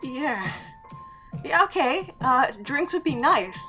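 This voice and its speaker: A woman acting a shy, hesitant, flustered character in an audio drama, speaking haltingly to an old flame. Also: sits high, animated, over music, exaggerated voice, poor audio. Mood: bashful, tentative. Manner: halting, bashful.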